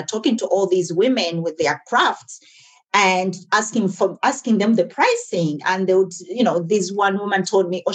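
A woman's voice: lowish voice, breathy edge. A woman in her forties, born and raised in Uganda, talking earnestly as an interview guest about her businesses, often restarting her sentences. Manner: earnest, restarts and repeats words. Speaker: guest, entrepreneur. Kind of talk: casual interview conversation.

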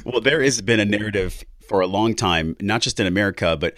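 A man's voice: on the low side, fairly quick, fairly bright tone, dry recording. A young adult man hosting a wellness podcast, who reads an intro and then asks questions with effusive enthusiasm. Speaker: podcast host.

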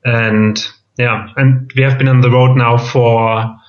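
A man's voice: medium pitch, level tone. German-accented man in his thirties. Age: 30s. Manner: halting, with frequent ums and restarts. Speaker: guest. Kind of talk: casual interview chat. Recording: dull lo-fi sound.

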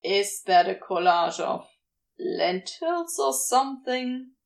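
A sardonic, teasing male demon , played by a woman reader, wily but affectionate. The delivery is casual and unhurried, with animated inflection, as in an audio story. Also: medium pitch, vocal fry, voice pushed down, heavily acted. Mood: incredulous. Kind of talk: dialogue in a fan fiction audio reading.

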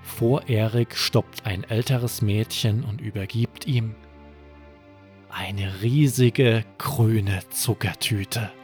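A man narrating a scripted children's bedtime story in a measured, deliberate manner. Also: lowish voice, fairly even intonation, a little mellow, soft background music.

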